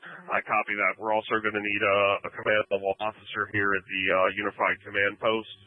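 Low, even-toned man on emergency radio. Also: a little fast, no room sound. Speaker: fire incident commander. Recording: phone-like emergency radio transmission.

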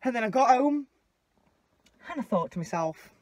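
A young woman rants and tells stories inside a small car. She is blunt and sweary, and her pitch jumps all over the place. The phone mic picks her up close and dry, with almost no echo. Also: expressive, moderate pace, darkish tone. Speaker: vlogger. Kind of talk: casual storytelling. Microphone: phone camera mounted on the dashboard.

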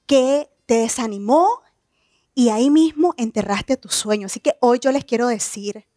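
Woman, 30s, keynote speaker; earnest, personal delivery, fairly high voice, close dry mic. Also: moderate pace, warm tone, no room sound. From Nicaragua. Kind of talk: motivational stage talk. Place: outdoor evening stage event.

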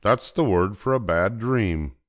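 A man voicing a calm papa bear father who explains things reassuringly in a storybook reading. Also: fairly low pitch, unhurried.

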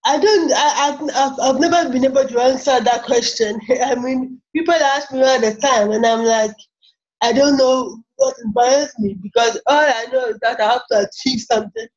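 A Ghanaian woman in her forties, a software engineer talking about herself haltingly, with many repeated words, on a video call from a small office. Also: sits high. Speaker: interview guest, software engineer. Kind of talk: casual interview talk. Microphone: laptop webcam on a video call. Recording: muffled video call audio.

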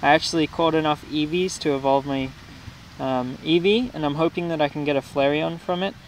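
A man's voice: a little high, fairly quick. A young man casually narrating his mobile gameplay outdoors, with frequent ums and restarts. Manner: relaxed, many ums and restarts. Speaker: gaming vlogger. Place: outdoors near a restaurant and park. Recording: outdoor recording with loud traffic noise.

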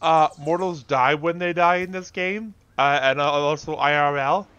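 A man's voice: a little high, a little slow, a bit bright, clean tone, dry recording, dull audio. A man giving casual, informal commentary as he plays a video game, reacting to what happens on screen. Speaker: gamer, video host.

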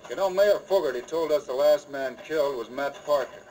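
An American man playing a calm, commanding lawman hero in 1950s Western radio style, firm and decisive. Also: a little high, fairly quick, lightly acted, poor audio.

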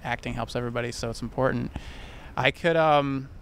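A young man answers questions on a city street, speaking into a mic held out to him. His voice stays level and flat as he thinks aloud and restarts sentences. Faint traffic noise runs behind. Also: mid-range voice, brisk, poor audio. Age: young adult. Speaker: passerby. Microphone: handheld mic held by interviewer.

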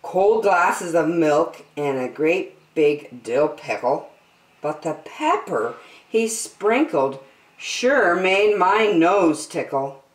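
In a roomy, echoing space, a middle-aged woman reads a rhyming storybook for children. Her voice sits a little low, and she goes very slowly, drawing words out with deliberate emphasis. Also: expressive. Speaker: children's story time host. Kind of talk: expressive read-aloud of a rhyming picture book for children. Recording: roomy indoor echo.

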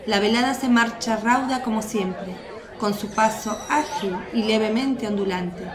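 A woman's voice: fairly high pitch, a little slow. A woman reading scripted narration for a radio drama. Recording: echoey, crowd murmur behind.

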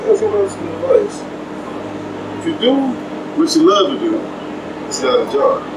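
A man with a Texan accent, a barber giving short, relaxed, polite answers while cutting hair, recorded by a far camera mic in a small trailer. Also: on the high side, normal speed. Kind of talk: casual interview answers. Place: small trailer interior, windy outdoor event. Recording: distant roomy sound, loud fan and wind noise.

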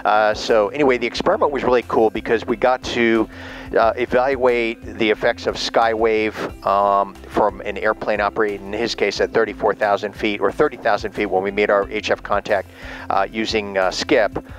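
A man's voice: on the low side, steady tone, moderate pace, low-quality recording. Male ham operator, casual and upbeat, recorded on a desk camera at home with faint music behind. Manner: upbeat, frequent uh fillers. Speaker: ham radio operator and private pilot. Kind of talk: casual vlog narration and radio chat. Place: home radio room. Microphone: GoPro camera on the desk.

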